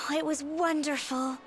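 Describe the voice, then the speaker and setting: A young woman voicing a sweet, earnest village girl in a video game, gentle and wide-eyed. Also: high voice, steady tone, slow pace, a little hiss or hum. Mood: happy. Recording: clear music underneath.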